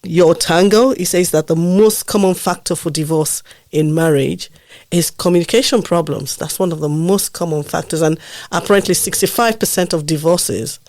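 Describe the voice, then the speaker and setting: A man hosting a podcast, a bit nasal from a blocked nose, talking in a measured way with many ums and pauses. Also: fairly high pitch, darkish tone, no echo. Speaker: podcast host. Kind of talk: casual podcast conversation.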